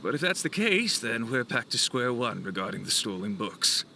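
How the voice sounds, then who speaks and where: A man's voice: mid-range voice, very animated, moderate pace, gravelly, pushed and bright, a little hiss or hum, full character voice. A man playing an earnest, polite publishing-house owner in a video game, formal and inquisitive. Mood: inquiring. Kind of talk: video game cutscene acting.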